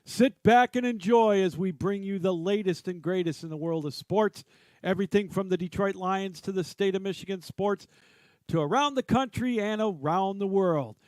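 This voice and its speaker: Male announcer with a high voice, dry studio-like sound, scripted show opener. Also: moderate pace, a little mellow, no echo. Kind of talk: scripted promotional intro read.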